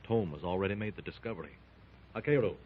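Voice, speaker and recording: An actor playing a calm, commanding jungle hero who asks terse, steady questions in a 1930s radio drama. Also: male voice, deep voice, fast, slight background noise, close to an everyday voice. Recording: tinny old 1930s radio recording.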